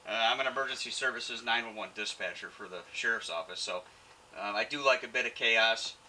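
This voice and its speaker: A man's voice: mid-range voice, fairly quick, pushed and bright, slight background noise, low-quality recording. A man talking informally as an amateur radio operator, friendly and using radio jargon in a casual on-air chat. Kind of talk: casual ham radio contact.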